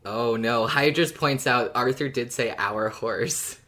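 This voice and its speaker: A woman's voice: a bass voice, slow pace, a bit bright. A woman co-hosting a podcast, talking informally and enthusiastically as a fan about a story. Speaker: podcast co-host. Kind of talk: casual fan discussion of an audio drama.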